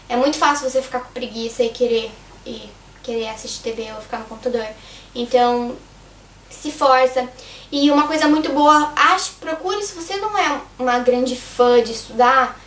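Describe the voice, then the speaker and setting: Hoarse young Brazilian woman vlogging. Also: high voice. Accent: Brazilian Portuguese. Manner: chatty, rambling, addresses viewers directly. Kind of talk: casual advice vlog. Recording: echoey room, distant mic.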